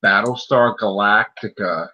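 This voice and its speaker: A man's voice: fairly low pitch, unhurried, husky. A man co-hosting a podcast, chatting casually and pushing his opinions hard, often repeating a point to stress it. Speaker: podcast co-host. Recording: muffled lo-fi audio.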